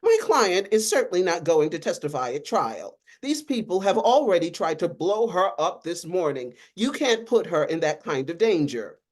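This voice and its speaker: A woman's voice: fairly low pitch, big pitch swings, normal speed, hardly any pauses, darkish tone, voice pushed up. A woman reading the part of an indignant, protective female lawyer, emphatic and protesting.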